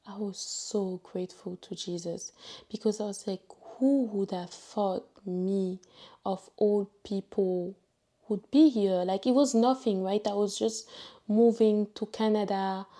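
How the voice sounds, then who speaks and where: A young woman from Ivory Coast with a French accent, telling a personal faith testimony in a halting way, with many fillers and restarts. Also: mid-range voice, fairly even intonation, warm tone. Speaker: vlogger sharing a testimony.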